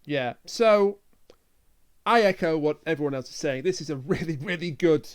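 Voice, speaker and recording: A man's voice: fairly high pitch, moderate pace, clear, resonant tone. A man co-hosting a casual review discussion, talking earnestly and repeating himself for emphasis. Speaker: podcast co-host.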